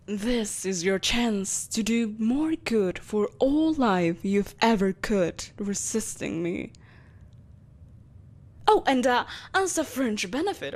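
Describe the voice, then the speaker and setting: Woman acting a haughty, seductive evil empress, highish voice. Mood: regal, persuasive, then smug, teasing. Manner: haughty, theatrical, coaxing. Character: imperious, seductive evil empress, ambitious and possessive. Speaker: voice actor. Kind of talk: scripted roleplay audio drama monologue.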